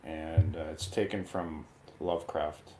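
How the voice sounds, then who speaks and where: A man with a low voice talks as a guest in a casual film discussion. He is opinionated but informal. He often halts briefly while he works out what to say. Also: fairly even intonation, unhurried, a little mellow.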